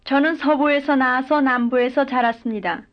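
A woman's voice: sits high, steady tone, moderate pace. A woman speaking full model sentences in a language drill, each one carefully and evenly delivered. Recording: dull lo-fi recording.

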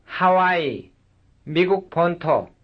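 A man reading short cue words for a language substitution drill, one at a time in a slow, measured way. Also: high-pitched, animated. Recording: dull lo-fi recording.

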